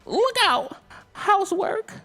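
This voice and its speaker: Excitable little alien for a children's book: shrill, squeaky, wildly animated, always griping. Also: male voice, slow pace, soft background music, full character voice. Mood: brash, cheerful. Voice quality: very high, squeaky. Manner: exclamatory, complaining. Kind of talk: children's storybook character voice.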